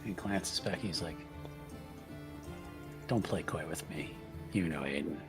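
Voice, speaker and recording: A man's voice: very deep voice, measured pace, music in the background. A man playing a tabletop game in casual chat, laughing often and joking. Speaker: player.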